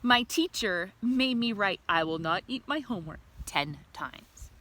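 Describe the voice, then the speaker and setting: A woman's voice: medium pitch, animated, a little slow, fairly bright tone. A woman voicing a young, mischievous earthworm from a storybook, telling his diary with childlike earnestness. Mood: matter-of-fact. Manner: earnest, childlike, simple diary-style statements.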